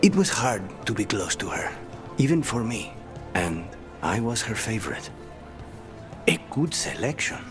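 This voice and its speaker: An actor playing a suave, dry-witted male assassin in a video game, speaking in a measured, understated way. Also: on the low side, sing-song, over music. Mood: wry, dry. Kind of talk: video game dramatic voice acting.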